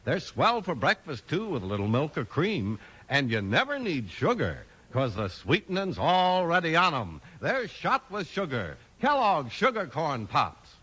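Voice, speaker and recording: A man's voice: a little high, wildly swooping pitch, unhurried, few pauses, bright, forceful tone, husky, low-quality recording. A man announcing and narrating in a hearty, emphatic, polished 1950s radio style. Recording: vintage 1950s radio broadcast. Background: music.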